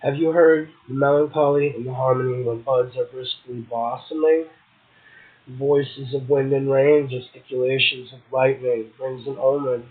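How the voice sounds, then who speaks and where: A man hosting a poetry show, reading verse and prose aloud and commenting on it casually. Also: mid-range voice. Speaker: poetry podcast host, poet. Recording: muffled, tinny phone-like audio.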